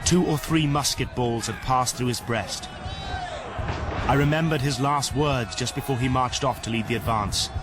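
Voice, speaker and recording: An actor playing a British rifle officer, a haunted battle survivor, giving sombre, emphatic testimony in a radio drama. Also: male voice, mid-range voice, moderate pace, music in the background, natural voice. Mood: grieving. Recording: noisy radio drama recording.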